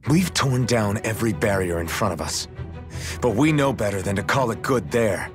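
An actor playing a resolute young hero in a video game, earnest and plain-spoken. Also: male voice, lowish voice, lively intonation, moderate pace, over music, close to an everyday voice. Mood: determined. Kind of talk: scripted video game acting.

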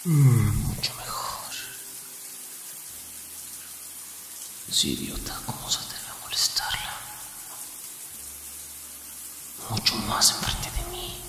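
A man acting a grumpy, jealous, protective young best friend in an intimate Spanish-style ASMR roleplay, breathy and often whispering, defensive and sarcastic. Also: mid-range voice, wildly swooping pitch, normal speed, pushed and bright, exaggerated voice. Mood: flustered muttering.

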